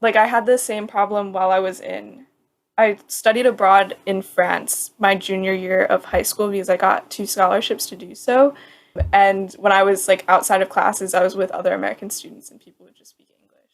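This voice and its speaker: A woman's voice: mid-range voice, flat delivery, normal speed. A young woman in her twenties co-hosting a casual podcast, talking in a chatty, rambling way full of "like.". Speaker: podcast co-host.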